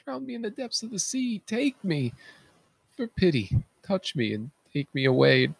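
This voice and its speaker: A man's voice: sits high, big pitch swings, moderate pace, vocal fry, slightly rough, no room sound, pitched above the performer's own voice, full character voice. A man playing an anguished, self-loathing blinded king in a theatrical, declamatory reading of Greek tragedy. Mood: desperate pleading. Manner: declamatory, tormented, dramatic.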